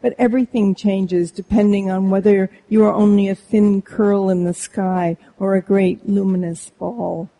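A woman's voice: medium pitch, fairly even intonation, measured pace, few pauses, mellow, low-quality recording. A woman voicing Alice, an earnest and curious young girl talking with the moon in a storybook.

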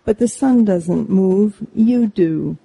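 A woman's voice: mid-range voice, takes it slowly, creaky, warm tone, husky, full character voice, poor audio. A woman voicing Alice, an earnest and curious young girl talking with the moon in a storybook.

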